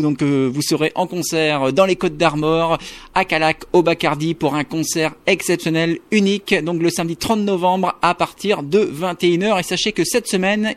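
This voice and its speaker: A man's voice: a little high, dry recording. A man from France hosting a radio interview, asking formal questions with the odd filler. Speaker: radio host.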